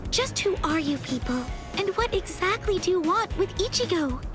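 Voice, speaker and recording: Earnest gentle schoolgirl, very high, game dub. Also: expressive, moderate pace. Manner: earnest, questioning. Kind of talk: video game cutscene dub. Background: game music.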